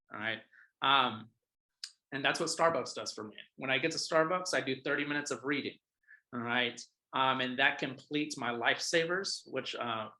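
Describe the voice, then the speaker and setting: Bright-voiced man giving an emphatic motivational talk. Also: medium pitch. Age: 30s. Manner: emphatic, repeats points, often adds "all right?". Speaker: realtor coaching other realtors. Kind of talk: casual motivational coaching talk. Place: office.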